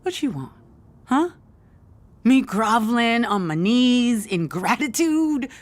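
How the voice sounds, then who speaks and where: Middle-aged mother, American accent, radio drama, animated higher voice, protective, proud, agitated. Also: takes it slowly. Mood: sarcastic, wounded. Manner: agitated, repeats herself. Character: protective, exasperated mother, proud struggling younger sister. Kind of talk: naturalistic radio play acting.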